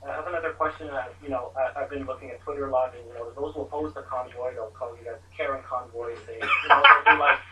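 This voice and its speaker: Man, bright voice, halting questions, distant mic, faint background noise. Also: mid-range voice, steady tone. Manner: hesitant questioning with many fillers. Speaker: reporter asking questions.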